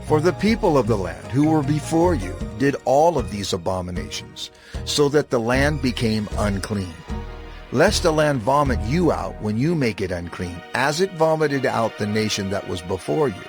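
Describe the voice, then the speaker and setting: A stern lawgiver with swooping, emphatic delivery. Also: male voice, mid-range voice. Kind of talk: dramatized scripture reading. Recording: prominent music behind the voice.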